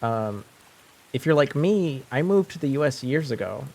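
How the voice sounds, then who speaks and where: A man's voice: medium pitch, animated, moderate pace, vocal fry, darkish tone. A young man whose first language is French, chatting casually on a live stream, rambling with lots of fillers and restarts. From French-speaking.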